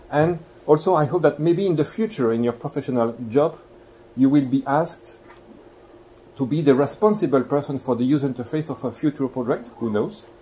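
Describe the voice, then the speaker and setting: A man's voice: medium pitch, warm tone, rough recording. A man with a Belgian accent giving a university lecture, courteous and explanatory, putting questions to his listeners. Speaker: guest lecturer. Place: lecture room. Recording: live lecture recording with clear background noise.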